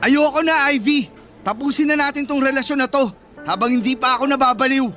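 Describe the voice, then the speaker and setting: A very high-voiced, fed-up teenage boyfriend in a Filipino radio drama. He argues emphatically and scolds, exasperated yet protective, with faint music underneath. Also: brisk, pushed and bright.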